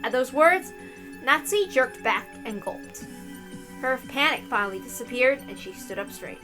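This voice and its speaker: Fairly high-voiced woman narrating a story. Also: lively intonation, normal speed, music in the background. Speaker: narrator and reader of a visual novel. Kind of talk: expressive read-aloud narration with casual asides.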